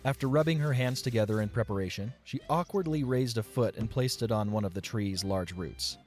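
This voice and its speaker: A man's voice: mid-range voice, brisk, darkish tone, faint music behind. A man narrating scripted fiction as the storyteller of an audio play.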